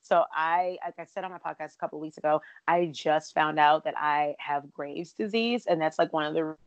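A woman with a raspy, somewhat low voice hosts a casual podcast. She chats breezily and leans on "like" and "literally" a lot. Her voice comes through dry and close over a remote call. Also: no room sound, muffled recording. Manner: chatty, fast-talking with many "like" and "literally". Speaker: podcast host. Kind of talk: casual chat. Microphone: remote call, cutting out at times.

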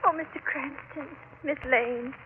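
An actress playing a flustered, evasive, hesitant older sister in a 1940s radio drama. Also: female voice, sits high, fairly even intonation, unhurried. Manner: hesitant, fluttery. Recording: tinny, noisy old radio broadcast.

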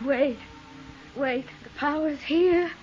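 An eerie séance medium deep in trance. Her voice is high and extremely slow, sighing and intense, on a muffled old radio drama recording with music behind. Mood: entranced, strained. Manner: drawn-out, sighing, gasping delivery. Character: entranced female spirit medium, intense, eerie. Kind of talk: 1950s radio drama acting.

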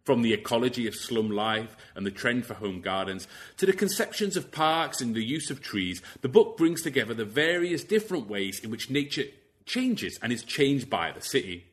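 A man's voice: mid-range voice, lively intonation, normal speed. A man hosting an academic podcast, reading introductions and putting questions to a guest. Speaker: podcast host. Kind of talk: scripted introduction and interview questions.

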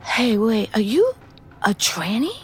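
A woman's voice: a little high, big pitch swings, takes it slowly, a little hiss or hum. An actress playing a foul-mouthed, middle-aged ex-convict, telling her story in a confiding, conversational way. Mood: blunt. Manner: streetwise, blunt, confiding. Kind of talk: scripted first-person narration, conversational.

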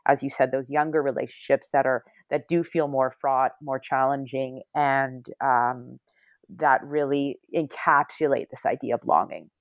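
A middle-aged woman, author of a memoir, answering interview questions reflectively, often restarting and pausing. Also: a bass voice, steady tone, no room sound. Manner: reflective, many restarts and hedged pauses.

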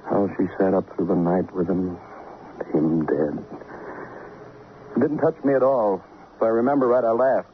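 Man acting a low-voiced, cold, arrogant rich husband. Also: steady tone, moderate pace, pauses often, close to an everyday voice. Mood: mocking, cold. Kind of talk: mid-century radio crime drama acting. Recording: noisy, muffled old radio recording.

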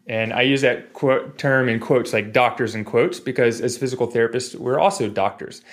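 A man's voice: mid-range voice, moderate pace. A man in his forties telling stories casually as a podcast guest, rambling and digressing with jokey asides. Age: 40s. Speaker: podcast guest, physical therapist. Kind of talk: casual storytelling in a podcast interview.

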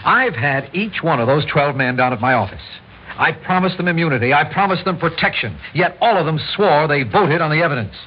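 An actor playing an embittered, stubborn, short-tempered district attorney with an American accent, curt and emphatic, in old-time radio drama style. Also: male voice, on the high side, animated, brisk, breathy edge. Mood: defensive. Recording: muffled, noisy old radio recording.

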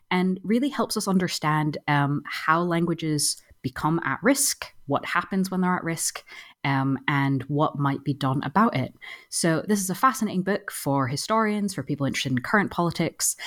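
Low-voiced woman podcast host. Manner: measured, polite, frequent ums. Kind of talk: podcast interview.

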